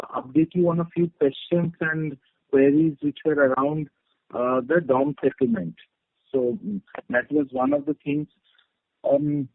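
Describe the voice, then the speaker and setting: A tinny phone line carries a man with an Indian accent and a husky, creaky voice. His intonation swings widely as he gives a business update to investors in halting, broken-up phrases. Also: a little high, no echo. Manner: halting, choppy phrasing with frequent hesitations. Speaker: company executive. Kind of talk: semi-formal investor update.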